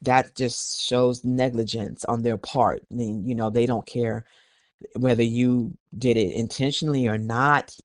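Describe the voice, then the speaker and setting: A man's voice: medium pitch, steady tone, a little slow, no room sound, clean studio sound. A man with an American accent hosting a podcast, giving opinionated conversational commentary in an emphatic, admonishing way and repeating phrases for stress. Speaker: podcast host.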